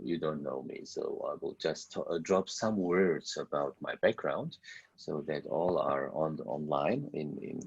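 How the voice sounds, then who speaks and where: Middle-aged guy lecturing over a video call, deep husky voice, slow and halting with lots of uhs, muffled lo-fi audio. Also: a little mellow. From Germany. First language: German. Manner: halting, searching for words, many fillers. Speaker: university lecturer. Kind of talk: online university lecture.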